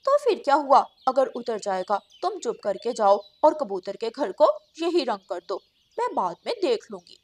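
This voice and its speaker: A woman voicing a bossy, scheming wife crow in a children's cartoon, with a sharp, commanding manner. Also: fairly high pitch, sing-song, moderate pace. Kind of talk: Hindi children's cartoon dubbing.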